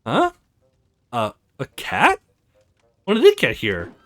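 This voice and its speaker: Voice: mid-range voice, wildly swooping pitch, slow, a bit bright, full character voice. A woman voicing a scared, innocent and curious little girl in a visual novel game, with music behind her.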